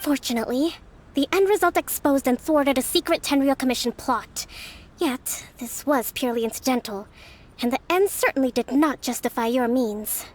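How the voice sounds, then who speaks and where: Actress doing a calm clever young lady ruler in a game, high pitched, proper and careful with words. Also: a little hiss or hum, lightly acted. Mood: gently firm. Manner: polite, formal, measured. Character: composed, shrewd young female leader and strategist. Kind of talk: video game voice acting.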